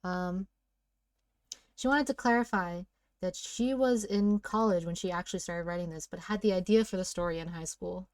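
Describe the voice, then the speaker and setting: A woman's voice: on the low side, moderate pace, darkish tone, clear, resonant tone, dry recording, studio quality. A playful, giggly woman co-hosting a read-aloud, narrating a story and bantering casually. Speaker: co-host of a read-aloud series.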